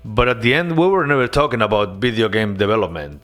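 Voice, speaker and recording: A man narrating his game-development devlog as a voice-over, earnest and relaxed, with casual asides. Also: on the low side, fast, soft background music.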